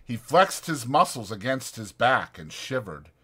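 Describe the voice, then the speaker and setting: Expressive male audiobook narrator. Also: medium pitch, unhurried, breathy edge. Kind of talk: dramatized scripted narration of a novel.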